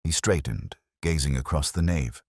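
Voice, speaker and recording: A man reading a scripted mystery story as narrator, in a measured, literary delivery. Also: very deep voice, dry recording, clean studio sound.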